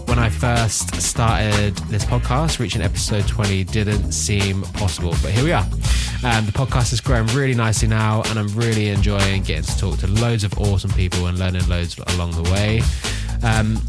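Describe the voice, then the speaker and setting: Congested man, lowish voice. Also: fairly even intonation, normal speed, loud music behind the voice. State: congested with hay fever. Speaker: podcast host. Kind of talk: conversational podcast hosting.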